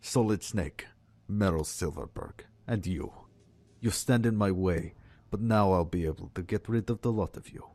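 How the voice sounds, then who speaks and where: Smug, mellow low-voiced villain. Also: male voice, no echo. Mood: smug, menacing. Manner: measured, lecturing, taunting. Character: smug, calculating male villain plotting with viral weapons. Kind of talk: radio drama acting.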